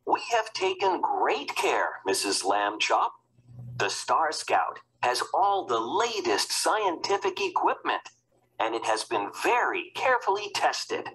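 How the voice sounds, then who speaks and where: A woman's voice: low-pitched, very animated, takes it slowly, fairly bright tone, a little hiss or hum, exaggerated voice, rough recording. A woman voicing a busy, hearty, self-assured male American president in a children's storybook, with an emphatic, explanatory manner. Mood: reassuring. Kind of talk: children's book read-aloud.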